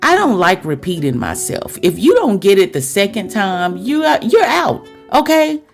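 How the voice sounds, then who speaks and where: A woman's voice: mid-range voice, big pitch swings, moderate pace, creaky, soft background music. A woman podcast host talking directly to her listeners in a chatty, confiding girlfriend-to-girlfriend way, with rhetorical questions.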